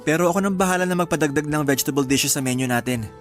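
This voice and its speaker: An actor playing an accommodating groom-to-be in a radio drama, relaxed and agreeable. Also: male voice, a little high, rapid-fire, soft background music, natural voice. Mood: easygoing. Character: easygoing groom-to-be, accommodating fiancé.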